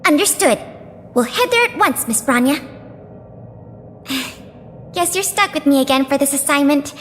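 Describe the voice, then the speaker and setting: A woman voicing a polite, earnest young female museum guide and investigator in a video game, speaking courteously and carefully. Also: high-pitched. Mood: determined. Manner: courteous, bookish, careful explaining. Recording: music behind the voice.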